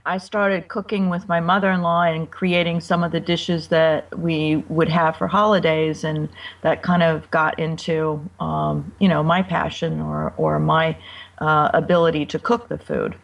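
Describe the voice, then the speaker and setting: An American woman, a cookbook author, answering interview questions in a friendly, halting way with frequent ums. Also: deep voice, little pitch movement, gravelly, distant mic, muffled recording. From United States.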